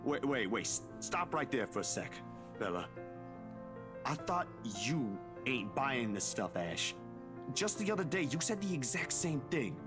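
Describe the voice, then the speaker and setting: A man's voice: medium pitch, very animated, unhurried, music in the background. A man playing a casual, skeptical young man in a visual novel, colloquial and easily exasperated. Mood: exasperated, questioning.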